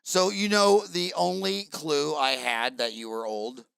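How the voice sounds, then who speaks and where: A man's voice: fairly high pitch, fairly even intonation, measured pace, creaky, a bit bright, smooth. A man hosting an interview, asking blunt questions in a jokey, informal way. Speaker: podcast host. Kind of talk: casual interview conversation.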